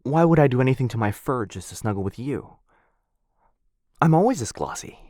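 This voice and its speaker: A man playing a shy, sweet, earnest dog-person roommate in an intimate boyfriend ASMR roleplay, speaking softly and hesitantly. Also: mid-range voice, lively intonation, dry recording, studio quality, natural voice. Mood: flustered, defensive. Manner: soft, hesitant, halting, bashfully rambling.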